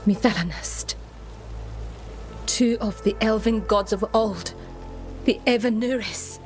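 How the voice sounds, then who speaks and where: An actress playing an earnest female elven magic expert with a serious, measured manner in a fantasy video game. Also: a little high, soft background music. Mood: grave. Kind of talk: cinematic video game dialogue.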